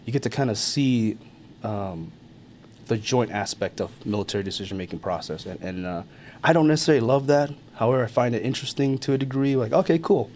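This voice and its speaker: An easygoing man in a mid register talks through a casual interview, chuckling and using lots of fillers. His voice is ordinary in pitch. A faint hiss sits under the recording. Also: brisk, darkish tone. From born in Thailand, raised in America. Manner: easygoing, chuckles often, many fillers and restarts. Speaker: army NCO guest.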